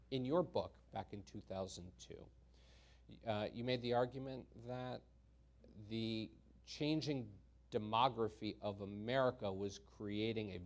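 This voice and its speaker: A man's voice: fairly low pitch, a little mellow. Man moderating with many ums. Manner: halting, many ums and uhs. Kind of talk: panel introduction.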